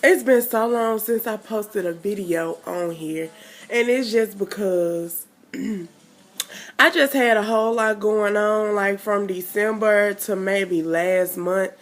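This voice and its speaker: Slow, stuffy-nosed young woman vlogger. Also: mid-range voice. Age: 20s. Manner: rambling, chatty, lots of fillers. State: stuffy nose from a cold. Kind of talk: casual chat to camera.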